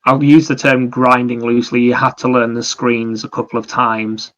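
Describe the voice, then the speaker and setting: A man's voice: medium pitch, level tone, moderate pace, a bit bright, muffled recording. A man chatting casually as a podcast co-host about video games, explaining things in a rambling, anecdotal way.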